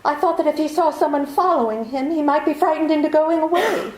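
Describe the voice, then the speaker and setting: An actress playing a scheming, desperate femme fatale in a 1940s-style radio drama, with a breathy, pleading, theatrical delivery. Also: female voice, high-pitched, a little fast, darkish tone, reverberant, close to an everyday voice, rough recording. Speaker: actor.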